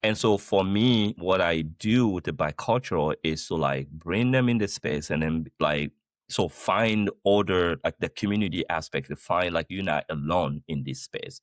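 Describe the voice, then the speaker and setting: Low-voiced man from Guinea, animated guest. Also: normal speed, dry recording, clean studio sound. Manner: animated, speaks with many "like" fillers and rephrasing. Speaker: podcast guest, company founder. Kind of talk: casual conversational interview. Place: the Netherlands.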